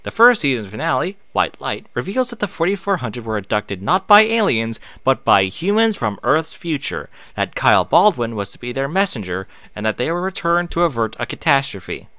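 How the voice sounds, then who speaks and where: Male casual reader, bright forward voice, very varied intonation, occasional stumbles. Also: medium pitch, very animated, moderate pace, few pauses, dry recording. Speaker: online reader and streamer. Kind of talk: reading aloud with casual off-script asides.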